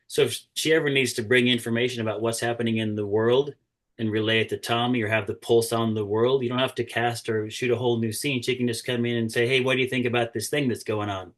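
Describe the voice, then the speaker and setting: A man's voice: medium pitch, a bit bright. A man taking part in a casual group discussion, explaining his points in an assured way and checking in as he goes. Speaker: workshop participant.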